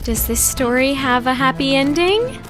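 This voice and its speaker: A woman's voice: high-pitched, expressive, moderate pace, music in the background. A woman playing a young hiker in love, chirpy and wryly teasing, in an audio drama. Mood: uneasy.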